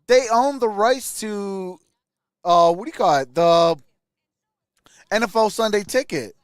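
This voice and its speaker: A man's voice: high voice, animated, unhurried, smooth, no room sound, studio quality. A man hosting a sports livestream, casually mixing play-by-play with informal, chatty replies to viewers. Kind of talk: casual live play-by-play and chat.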